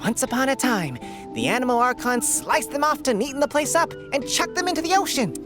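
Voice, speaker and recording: Prim, talkative game squirrel: high-pitched, very lively intonation, quaint turns of phrase. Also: female voice, fairly quick, music in the background. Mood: chatty, aggrieved. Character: talking squirrel, chatty, prim, faintly indignant storyteller. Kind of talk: video game character acting.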